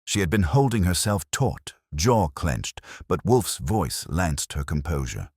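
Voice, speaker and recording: A man narrating a first-person detective story in a measured, dramatic storytelling manner. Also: sits low, very animated, darkish tone, husky, no room sound, studio quality. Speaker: audiobook narrator.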